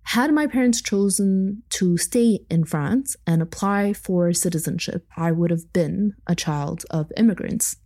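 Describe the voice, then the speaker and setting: Thoughtful woman podcaster, mellow low voice. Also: unhurried, few pauses. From Egypt. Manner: thoughtful, with light laughs and asides. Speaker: podcast host. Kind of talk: reflective solo podcast talk.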